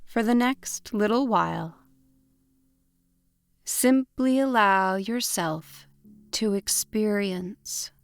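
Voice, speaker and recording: A woman narrating a guided imagery meditation in a gentle, soothing way, in short deliberate phrases with long pauses. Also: mid-range voice, gravelly, clear, resonant tone, no echo. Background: instrumental music.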